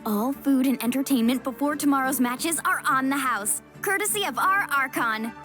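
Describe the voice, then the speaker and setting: A woman's voice: high-pitched, normal speed, pushed and bright. A woman playing a confident, supportive young female warrior in a video game, with a casual, encouraging manner. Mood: upbeat. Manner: casual, encouraging, plain-spoken. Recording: music behind the voice.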